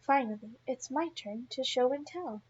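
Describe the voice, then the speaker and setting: High-voiced woman reading a children's storybook. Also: moderate pace, lo-fi sound, a little hiss or hum. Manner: expressive, addressing young children directly. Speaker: preschool teacher reading aloud.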